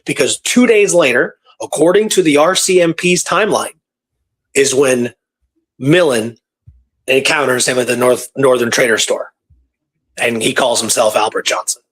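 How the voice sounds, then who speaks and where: A man's voice: mid-range voice, moderate pace, a bit bright, breathy edge, poor audio. A man hosting a radio show, telling a story in a casual, conversational way and repeating phrases for emphasis.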